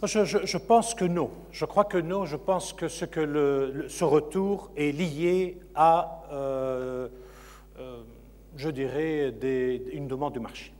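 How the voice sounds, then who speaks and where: A man's voice: fairly high pitch, slow, a little mellow. A man who is a university lecturer, answering audience questions at a conference, hesitant with many fillers and repeated words. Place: conference hall. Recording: long reverb of a lecture hall.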